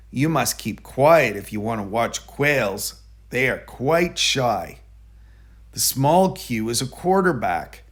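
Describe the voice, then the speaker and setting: A man narrating a children's picture book aloud in a deliberate, explanatory manner. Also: mid-range voice, a bit bright, breathy edge. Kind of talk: children's storybook read-aloud.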